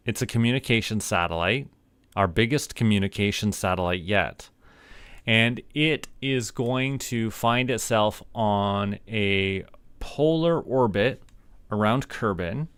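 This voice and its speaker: Casting: male Canadian game streamer, lowish voice, very slow casual delivery, playful mock-official manner. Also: a bit bright, clean tone, no echo. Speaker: livestream gamer host. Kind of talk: casual live gameplay commentary.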